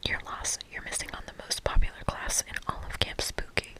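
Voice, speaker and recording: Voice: fairly quick, pushed and bright, natural voice. Reader playing a boastful master-of-disguise camp counselor for a game, earnest and pompous, fully whispered. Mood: proud.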